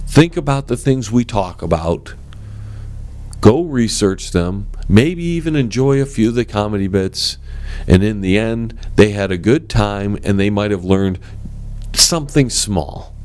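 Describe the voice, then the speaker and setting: Animated, forceful male talk show host. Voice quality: strong voice. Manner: animated and forceful, swings up in pitch; addresses listeners as ladies and gentlemen. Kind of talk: opinionated talk radio monologue.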